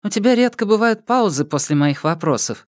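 Audiobook female lead male narrator acting: candid and probing, sincere curiosity, voice raised in pitch. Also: lively intonation, brisk, no room sound, studio quality. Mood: earnest. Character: inquisitive, frank woman on an intimate date. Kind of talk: audiobook character voice.

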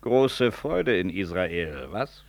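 An actor playing an officious, insinuating medical professor in a 1960s radio drama, formal and probing in manner. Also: male voice, deep voice, very animated, slow pace, creaky, exaggerated voice. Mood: sly, probing. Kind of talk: 1960s German-language radio drama acting.

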